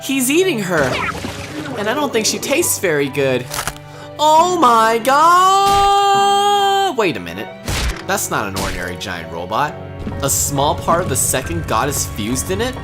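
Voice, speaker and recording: A woman doing a comedic parody dub, sarcastic and dramatic, swinging from deadpan mutters to frantic shouts. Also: mid-range voice, unhurried. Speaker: parody voice-over creator. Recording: voice over loud background music.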